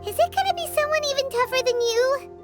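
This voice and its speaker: A woman voicing an eager, tiny female fairy companion who talks about herself by name. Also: quick pace. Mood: curious. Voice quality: very high, squeaky, bouncy. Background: game music.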